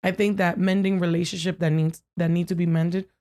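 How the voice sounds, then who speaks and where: A woman co-hosting a podcast with a deep voice, talking earnestly to a friend and often restarting her sentences. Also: little pitch movement, warm tone. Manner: earnest, halting with many restarts. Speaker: podcast co-host. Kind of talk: casual heartfelt chat. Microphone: podcast microphone, no camera.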